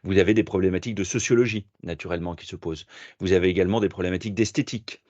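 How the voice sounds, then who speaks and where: A middle-aged French man being interviewed as a philosopher, talking in a measured, reflective way with frequent hesitations. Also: low voice, darkish tone, no echo, muffled recording. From France. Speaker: podcast guest, philosopher and management consultant. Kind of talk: interview answers.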